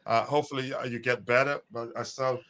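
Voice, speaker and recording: Bright-voiced male stream host. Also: medium pitch, flat delivery, fairly quick. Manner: chatty, full of fillers, greets viewers by name. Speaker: host of a live fan stream. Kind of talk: casual live-stream chat.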